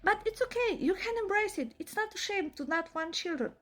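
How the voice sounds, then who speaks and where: A woman's voice: very high voice, expressive, a little fast, a bit bright. A young woman from Eastern Europe with an Eastern European accent, giving emphatic, opinionated commentary full of rhetorical questions. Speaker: vlogger.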